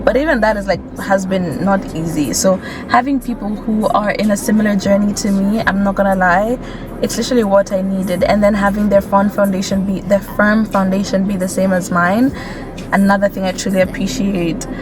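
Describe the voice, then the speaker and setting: A woman's voice: medium pitch, fairly even intonation, moderate pace. A young woman with a Kenyan accent talking casually and candidly as she drives, with many fillers. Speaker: vlogger. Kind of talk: casual chat while driving. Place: in a car on city streets. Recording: loud road noise in a car.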